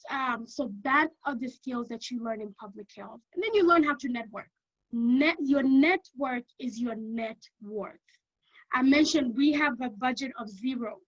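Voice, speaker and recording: A woman with a Kenyan accent giving an energetic informational talk to prospective students, often checking in with "okay?". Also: sits high, a little slow, highs cut off. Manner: energetic, keeps checking in with "okay?", repeats for emphasis. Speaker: university lecturer.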